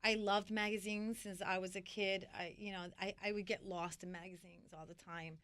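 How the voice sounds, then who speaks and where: A middle-aged woman from New York talks through her career in a loose, animated way, circling back with fillers and restarts. Her voice is bright and smooth, with a clean resonance. The sound is dry and close, with no room around it. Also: medium pitch, normal speed, no room sound. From New York (Washington Heights). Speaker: interview guest, magazine founder. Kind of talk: casual interview storytelling.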